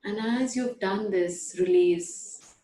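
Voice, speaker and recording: A woman guiding a breathing and letting-go meditation, giving slow, soothing instructions with long pauses. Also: medium pitch, warm tone. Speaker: meditation guide. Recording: echoey room.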